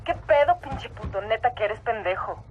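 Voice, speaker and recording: A woman's voice: on the high side, animated, brisk, slight background noise, close to an everyday voice. A woman with a Mexican accent playing a frightened, furious drug boss's wife in a video game, agitated and profane. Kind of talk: scripted game dialogue. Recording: dull band-limited phone line.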